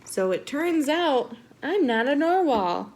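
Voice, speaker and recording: Lady doing a sweet little sea creature from a picture book, high and bouncy, earnest, bit of noise behind. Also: sing-song, slow, darkish tone, heavily acted. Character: sweet, earnest young narwhal who is a unicorn.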